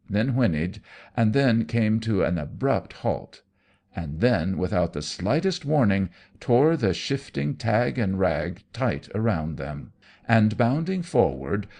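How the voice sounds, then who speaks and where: A man's voice: lowish voice, very animated, takes it slowly, darkish tone. A man reading gothic fiction aloud in a dramatic, theatrical, suspenseful storytelling manner. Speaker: audiobook narrator.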